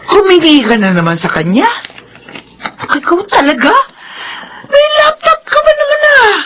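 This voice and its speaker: A woman's voice: very high-pitched, very animated, a little slow, noisy background, exaggerated voice. An actress playing a loving, worried mother in a Filipino radio drama, chiding and tender by turns, with a Filipino accent. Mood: playful scolding. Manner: chiding, tender, sighing exclamations. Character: loving, worried mother hiding a painful secret.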